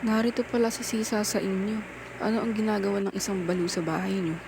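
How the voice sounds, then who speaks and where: A woman's voice: mid-range voice, steady tone, quick pace, vocal fry, background noise, natural voice. A woman playing a nosy, gossipy society lady in a radio play. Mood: curious.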